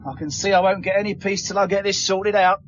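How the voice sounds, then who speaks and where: Man playing a high-voiced, grumbling taxi driver. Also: flat delivery, fast talker, lightly acted. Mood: grumbling, cheeky. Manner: chatty, colloquial, grudging. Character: grumbling, cheeky working-class male taxi driver. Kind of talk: radio drama acting. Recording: dull sound with faint vehicle noise.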